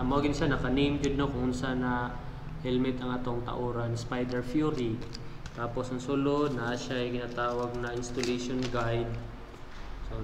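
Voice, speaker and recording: Visayan Filipino man in an echoey, noisy room. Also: mid-range voice. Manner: conversational, addressing viewers with check-in questions. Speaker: motovlogger presenting his gear. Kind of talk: casual product demonstration. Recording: echoey reverberant room, clear background noise.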